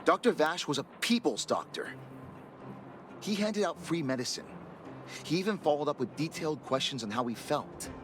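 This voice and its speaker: Lively-pitched gossipy game townsman, music behind. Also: normal speed. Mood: defensive. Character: gossipy, secretive male clinic patient in a sci-fi game. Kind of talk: video game voice acting.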